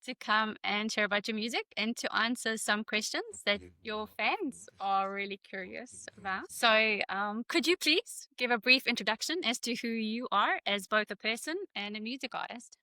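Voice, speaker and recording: A woman's voice: a little high, normal speed, few pauses, sharp tone, no room sound. A woman hosting a question-and-answer interview, friendly and working through prepared questions. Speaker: interviewer host.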